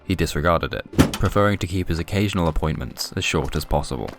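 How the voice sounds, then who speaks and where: A man narrating scripted noir comic fiction in a deadpan, wry manner. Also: low voice, quick pace. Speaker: narrator and presenter. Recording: music behind the voice. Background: music.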